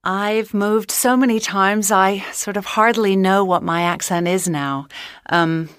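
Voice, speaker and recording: A woman from the United States with a Mid-Atlantic accent, reflecting calmly on her own story with small hesitations. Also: medium pitch, unhurried, smooth, no echo, studio quality. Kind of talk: unscripted personal account.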